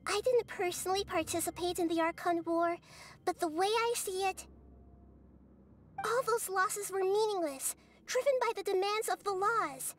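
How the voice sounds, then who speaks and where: A woman voicing a small, gentle, wise child goddess in a video game, speaking in a measured, thoughtful way. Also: extremely high pitch. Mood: solemn. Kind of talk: video game character acting.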